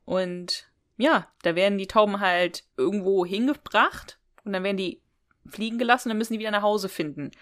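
A woman's voice: mid-range voice, fairly even intonation, a little fast, fairly bright tone. A woman co-hosting a podcast, chatting casually and readily amused as she retells and discusses a story. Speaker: podcast co-host. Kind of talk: casual chat, retelling and discussing an audio drama episode.

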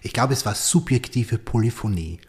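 A man's voice: mid-range voice, lively intonation, normal speed. A man hosting a podcast interview, asking probing questions, often ending with a tag question and repeating words as he thinks. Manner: probing questions, frequent "oder?" and "mhm" backchannels, repeats words. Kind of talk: conversational interview.

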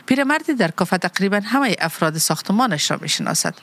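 A woman co-hosting a community podcast, reading translations of the host's script at a brisk clip. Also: mid-range voice, very animated, slight background noise.

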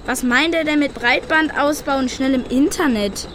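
A woman plays an eager young detective in a children's radio play. The voice is high-pitched, polite and always asking. A little noise sits behind it. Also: normal speed, fairly bright tone. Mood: curious, eager. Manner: inquisitive, polite. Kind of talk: scripted children's audio drama and podcast.

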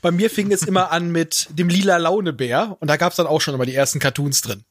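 A man with a slightly raised pitch joins a podcast as a guest. He talks in an informal, conversational style. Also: fast talker, a bit bright. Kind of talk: casual chat.

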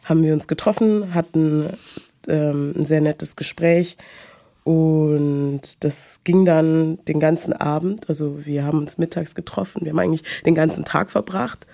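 A woman, talking hesitantly about her personal life with many pauses and fillers. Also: sits low, steady tone, vocal fry, warm tone. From Munich, Germany. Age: 20s. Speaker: guest. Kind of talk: personal conversation.

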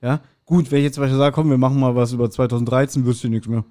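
A man's voice: mid-range voice, steady tone, racing, dark tone. A middle-aged man, co-hosting a podcast in casual conversation. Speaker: podcast co-host. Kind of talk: casual chat.

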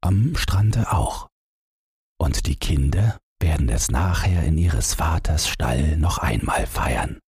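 A man reading a literary Christmas story as an audiobook narrator, in a measured, dramatic storytelling manner. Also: rumbling low, expressive, darkish tone, husky, dry recording, studio quality.

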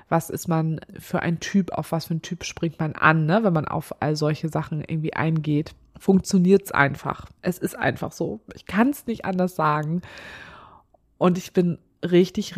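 A woman hosting a solo podcast, talking candidly and chattily with a slightly nasal voice from a blocked nose. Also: sits low. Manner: chatty, candid, laughs often. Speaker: podcast host. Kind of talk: casual solo podcast chat.

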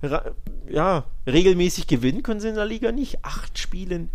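A man's voice: high-pitched, expressive, a little fast, a little mellow. A man co-hosting a casual football podcast, giving opinionated, colloquial analysis and repeating points for emphasis.

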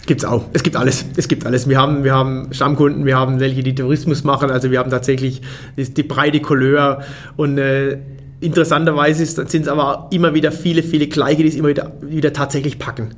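A man's voice: medium pitch, level tone. A German man in his forties, a race organizer, answering interview questions in a casual way and often restarting his sentences. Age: 40s. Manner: fast, restarts and repeats words.

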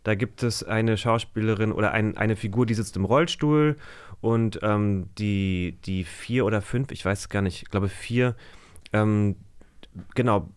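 A man's voice: lowish voice, smooth. A man, chatting casually as a guest in a loose, slangy, searching way. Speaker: podcast guest, actor. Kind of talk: casual chat.

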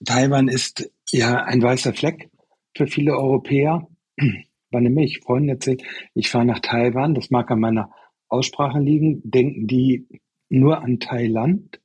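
A chef in his sixties speaks with a very husky, breathy voice at an average pitch. He talks in a relaxed, rambling way, full of hesitations, and the recording is close and dry. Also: a little slow, dry recording, poor audio. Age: 60s. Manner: relaxed and expansive, with many fillers. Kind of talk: conversational interview answers.

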